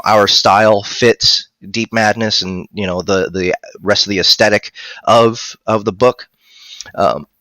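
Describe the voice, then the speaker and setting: A man in his thirties with a low voice and a bright, forward edge. He talks casually as a live stream co-host, close on a headset mic with a dry sound. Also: dry recording. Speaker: audiobook narrator and producer co-hosting a live stream. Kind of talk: casual live-stream discussion, reading out viewer questions. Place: indoor room at home.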